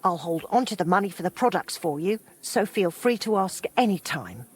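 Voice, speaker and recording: A middle-aged woman playing a warm, helpful widowed townswoman in a medieval video game, with a husky voice. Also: lowish voice, lively intonation, moderate pace. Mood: friendly, obliging. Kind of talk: video game character dialogue.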